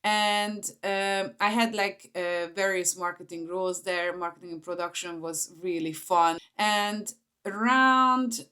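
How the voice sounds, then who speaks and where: A woman's voice: mid-range voice, fairly even intonation, measured pace, pushed and bright, clear, resonant tone. A woman in her forties with a Hungarian accent, talking informally to camera as she shares personal stories. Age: 40s. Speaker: vlogger, entrepreneur.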